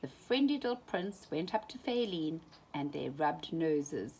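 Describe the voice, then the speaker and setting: A woman reading a children's story aloud in an animated, dramatic way, often dropping into hushed tones. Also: deep voice, unhurried, husky. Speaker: storybook reader.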